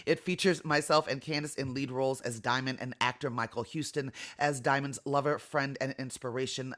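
Bright, upbeat woman podcast host. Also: very deep voice. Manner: upbeat, announcing. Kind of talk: scripted radio outro. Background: faint music.

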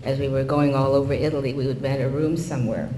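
An older man with a soft, mellow voice tells a story from long ago, pausing now and then to find his words. The sound is roomy, with a long reverb tail and a faint hum behind it. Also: mid-range voice, steady tone. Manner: unhurried recollection with small hesitations. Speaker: interviewee recalling wartime childhood. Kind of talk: personal storytelling.

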